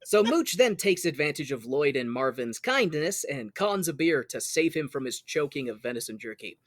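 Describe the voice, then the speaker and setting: A man's voice: fairly high pitch, very animated, normal speed, dry recording, studio quality. A man co-hosting a podcast, casually retelling a story in a jokey, conversational way. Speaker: podcast co-host. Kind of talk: casual retelling of a stage musical.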